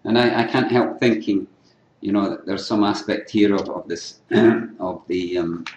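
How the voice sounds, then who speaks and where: An older Scottish man giving an unscripted academic lecture at a conference, often clearing his throat and restarting phrases. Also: lowish voice, normal speed, a little mellow, lo-fi sound. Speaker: keynote lecturer in archaeology. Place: lecture hall. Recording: echoey lecture hall.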